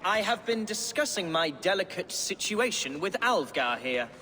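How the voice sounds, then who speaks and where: A male actor playing a foppish, excitable, self-important young Anglo-Saxon nobleman in a video game, florid and theatrical. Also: sits high, moderate pace, in a large hall, exaggerated voice. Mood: pompous, cheerful.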